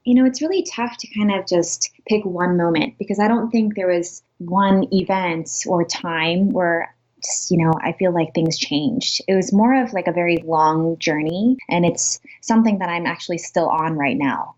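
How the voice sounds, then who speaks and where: A woman's voice: lowish voice, moderate pace, darkish tone. A young woman from Pittsburgh answering interview questions in a friendly way, laughing lightly as she talks. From Pittsburgh, Pennsylvania. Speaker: guest, pianist. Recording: dull lo-fi remote line.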